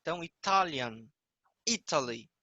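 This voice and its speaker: A male English teacher with a Brazilian Portuguese accent, explaining a lesson patiently and repeating words slowly. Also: fairly high pitch, animated, sharp tone, dry recording, lo-fi sound.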